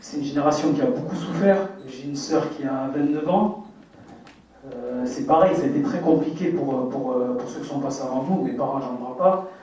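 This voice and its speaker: A man's voice: medium pitch, a little fast, dark tone, slight background noise. Hesitant young man in echoey hall. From France. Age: 20s. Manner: hesitant, full of fillers and restarts. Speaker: actor speaking at a public debate. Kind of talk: earnest public testimony. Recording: echoey hall, distant mic, dull sound.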